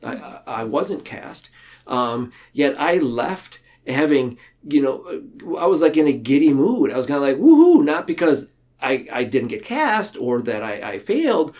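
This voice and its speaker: Guy on a podcast, mid-pitched voice, earnest, kinda halting, thinking out loud. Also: big pitch swings. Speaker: podcast guest. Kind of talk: casual reflective conversation.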